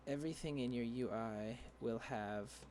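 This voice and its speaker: A young man explaining a coding tutorial over a screen share, rambling and halting with many fillers and restarts, his voice dry. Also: mid-range voice, darkish tone, slight background noise. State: dry voice, clearing throat. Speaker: tutorial creator.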